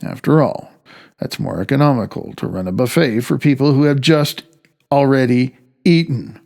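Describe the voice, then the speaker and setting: A man's voice: mid-range voice, moderate pace, creaky, a little mellow. A man reading his own nonfiction audiobook in a wry, conversational way. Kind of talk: audiobook narration of personal essay. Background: music.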